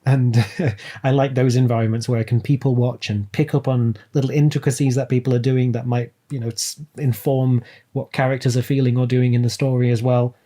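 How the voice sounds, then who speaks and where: A man with a mellow, medium-pitched voice talks casually as a podcast guest. His phrasing is articulate and reflective, as if explaining his own creative habits. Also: flat delivery, brisk, few pauses. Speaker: podcast guest, audio drama writer. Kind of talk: casual, reflective interview chat.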